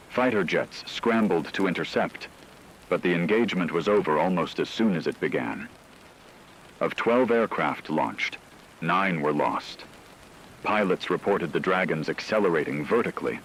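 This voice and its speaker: Deep-voiced actor as a grave male radio anchor. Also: a bit bright, full character voice. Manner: solemn, measured, dramatic. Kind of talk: scripted breaking news narration. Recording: noisy hissy radio broadcast.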